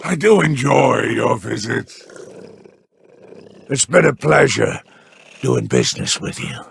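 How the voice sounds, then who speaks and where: An actor playing a smug, scheming male alien crime boss in a video game, with a deep gravelly growl and a slow, mockingly polite manner. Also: big pitch swings, a bit bright, a little hiss or hum, heavily acted. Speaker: merchant boss.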